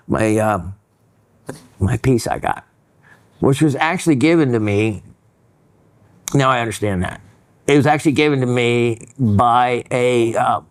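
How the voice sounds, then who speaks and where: Male host, mid pitch, chatty, rambling, dry close sound. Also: no echo. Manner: rambling, many fillers and restarts. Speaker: host reading viewer mail. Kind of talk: casual educational chat.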